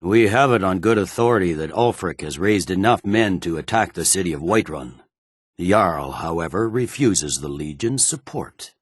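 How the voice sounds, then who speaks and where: A man's voice: low voice, lively intonation, moderate pace, slightly rough, no room sound, clean studio sound. A man playing a stern, weary imperial general in a video game, speaking with clipped, brusque, formal authority. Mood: stern, businesslike. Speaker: military commander. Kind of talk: scripted video game dialogue.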